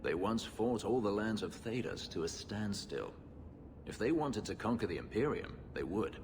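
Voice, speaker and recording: A man voicing a brooding, bitter warrior in a video game, speaking in a measured, grave, dryly sardonic way. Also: lowish voice, big pitch swings, long reverb tail, background noise. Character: brooding, bitter male warrior from a slave-keeping empire.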